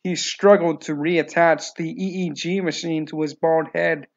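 A man's voice: a little high, fairly even intonation, unhurried, vocal fry. A man giving scripted narration of a novel, in a slightly dull, lo-fi recording.

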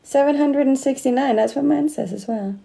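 A woman's voice: high voice, fairly quick, vocal fry, dark tone. A Danish woman in her late twenties with a slight Australian accent, chatting casually on a livestream from her phone and laughing often. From Denmark. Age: late 20s. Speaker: livestream host. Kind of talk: casual livestream chat reading comments. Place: indoor room. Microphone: phone close to face.

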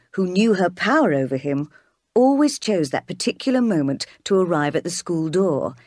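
A narrator reading a classic story aloud in an expressive, scripted storytelling way. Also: mid-range voice, no room sound, studio quality. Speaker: audiobook narrator.

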